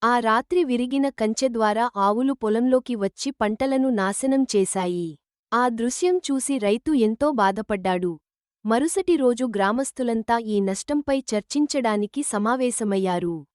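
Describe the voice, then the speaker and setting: A woman's voice: a little high, a little slow, dry recording, clean studio sound. A woman reading a scripted children's moral story as the narrator.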